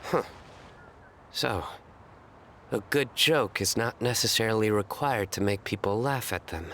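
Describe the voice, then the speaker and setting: A man voicing a solemn, proud card-game devotee in a video game, deadpan and self-serious. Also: fairly low pitch, measured pace, a little hiss or hum. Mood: pensive. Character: solemn, proud male card-game fanatic who tells deadpan jokes. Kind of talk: scripted video game voice acting.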